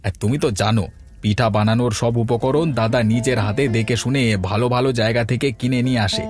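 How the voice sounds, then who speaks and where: Casting: male actor as easygoing, chatty village man for a cartoon folk tale, middling pitch, music underneath. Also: fairly even intonation, brisk, a little mellow, close to an everyday voice. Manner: colloquial, chatty. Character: village men: elder headman, easygoing bachelor neighbour. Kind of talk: Bengali cartoon fairy-tale voice acting.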